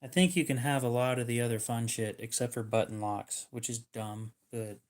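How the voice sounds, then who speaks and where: A man's voice: mid-range voice, steady tone, normal speed, a little mellow. A man hosting a livestream, chatting casually in a joking, sarcastic way with some swearing. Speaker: livestream host.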